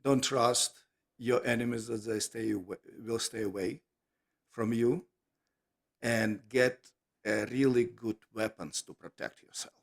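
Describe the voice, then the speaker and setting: A man from Ukraine with a Ukrainian accent, giving an academic talk in a halting way with many fillers. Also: medium pitch, steady tone, creaky. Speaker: lecture speaker.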